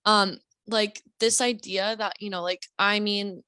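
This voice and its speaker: A woman's voice: medium pitch, fairly even intonation, a little slow, sharp tone, dry recording, studio quality. A young woman as a podcast guest, talking in a rambling, reflective way with many fillers. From Deep South, US. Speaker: guest author. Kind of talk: casual podcast conversation.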